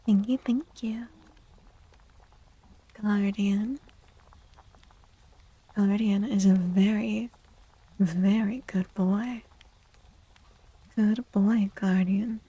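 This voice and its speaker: A woman hosting a soft-spoken ASMR livestream, hushed and breathy, often slipping into whispers and gently repeating phrases. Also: medium pitch, steady tone, drawn-out words, long pauses, no room sound, low-quality recording. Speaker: livestream host, tarot reader. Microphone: close microphone in a dry space.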